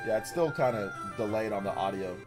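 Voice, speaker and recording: A man's voice: lowish voice, animated, a little fast, over loud music. A man in his fifties hosting a casual gaming livestream, rambling and correcting himself as he talks to chat, laughing often. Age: 50s. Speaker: livestream host.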